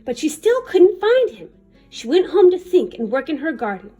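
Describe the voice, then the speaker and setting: A woman reading a children's picture book aloud in an expressive, dramatic manner. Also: extremely high pitch, normal speed, faint music behind. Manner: animated, dramatic. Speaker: children's story reader.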